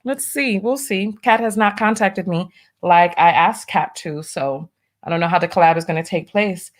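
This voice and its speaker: A woman's voice: on the low side, moderate pace. Chatty New York woman livestream host. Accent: New York, partly masked. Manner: chatty, wry asides, doing her makeup while talking. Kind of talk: casual livestream chat, reading viewer donations.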